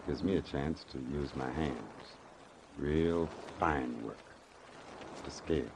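An actor in an old-time radio drama playing a weary, earnest former boxer who speaks plainly in short, halting sentences. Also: male voice, a bass voice. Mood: guarded, earnest. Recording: noisy vintage radio broadcast recording.

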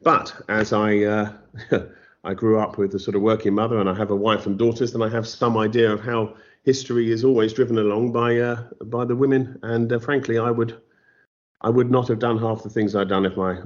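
A middle-aged man, a novelist being interviewed, talking in a thoughtful, self-deprecating way with lively asides. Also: fairly low pitch. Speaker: historical novelist guest. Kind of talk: conversational interview. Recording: slightly dull lo-fi audio.

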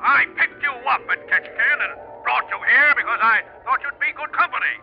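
Blustery jovial bore of a man, insistent, super high pitched, old muffled 1940s radio play. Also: a little fast, creaky, soft background music. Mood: indignant. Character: pompous, jovial, boring hunting host, a man. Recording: dull old radio recording.

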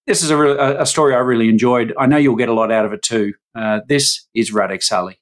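A man's voice: mid-range voice, steady tone. Casual male podcast host. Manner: casual, halting with fillers and restarts. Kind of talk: conversational interview.

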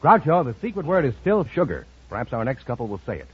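Lively American radio announcer, husky, vintage recording. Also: male voice, mid-range voice, fast. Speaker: show announcer. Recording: dull vintage radio broadcast.

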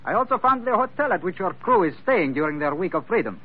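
An actor playing a proud, lovesick crime boss with silky formal politeness and menace, in 1940s radio drama style. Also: male voice, sits high, very animated, fast, breathy edge, full character voice. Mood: polite menace. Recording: thin, tinny vintage radio recording.

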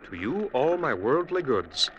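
An actor playing a stubborn, grasping younger brother in an Indian village, speaking formally and earnestly in 1950s radio drama style. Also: male voice, mid-range voice, sing-song, a little slow, muffled recording. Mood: smug. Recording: old radio recording, faint background noise.

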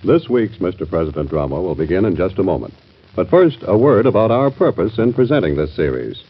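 A man's voice: sits low, very animated, fairly quick, breathy edge. A man reading a scripted introduction as a stately, earnest 1940s radio announcer. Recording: dull old radio recording.

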